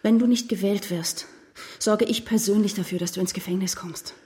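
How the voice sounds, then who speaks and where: An actress playing a cold, power-hungry politician's wife in a radio drama, sarcastic and cutting. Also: female voice, medium pitch, fairly even intonation, normal speed, mellow, close to an everyday voice. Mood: icy, threatening. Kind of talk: dramatic German radio play acting.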